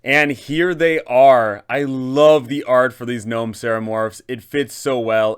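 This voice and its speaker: Clear-voiced man, emphatic game guide narrator. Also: mid-range voice. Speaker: host, game master advising other game masters. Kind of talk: scripted explainer narration for tabletop game.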